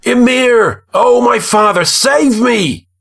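A man's voice: very high voice, slow pace, gravelly, fairly bright tone, pitched above the performer's own voice, exaggerated voice. A man voicing a desperate young frost giant's daughter crying out in a fantasy audiobook. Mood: desperate, pleading.

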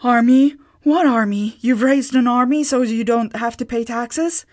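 A woman voicing a practical, exasperated farm wife who speaks bluntly and indignantly, at a slow pace. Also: medium pitch, expressive.

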